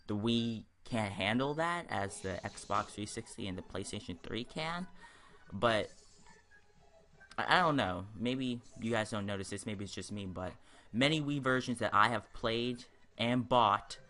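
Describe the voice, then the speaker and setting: A man's voice: fairly low pitch, lively intonation, measured pace, sharp tone. A man giving casual commentary over a video game walkthrough, rambling and hesitant, often repeating himself. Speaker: gaming video host.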